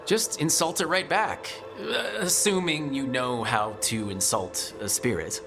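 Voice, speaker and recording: A man's voice: mid-range voice, measured pace, gravelly. An actor playing a direct, wry young male hero in a video game, in a conversational manner. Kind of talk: naturalistic video game acting. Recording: music behind the voice.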